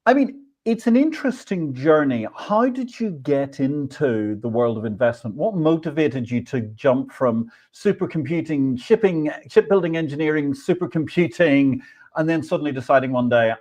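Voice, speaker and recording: A man's voice: mid-range voice, lively intonation, moderate pace, a little mellow. A man hosting an interview show, reading an introduction and asking questions. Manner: enthusiastic, effusive praise. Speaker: podcast host. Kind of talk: interview host.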